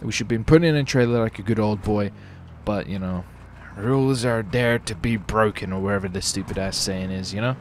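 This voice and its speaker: A man's voice: on the low side, slight background noise. A man giving casual, slangy gameplay commentary as a gaming video host, hyping things up with exclamations.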